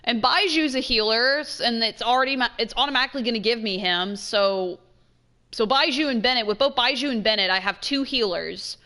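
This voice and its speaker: A woman's voice: on the high side, a little fast, bright, forceful tone. A woman giving casual let's play commentary, thinking aloud in informal, slangy speech.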